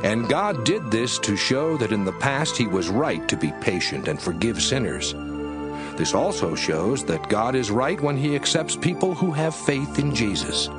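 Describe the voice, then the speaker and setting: A man reading scripture as a scripted audiobook, with an emphatic, measured and rhetorical delivery. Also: mid-range voice, expressive. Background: music under the voice.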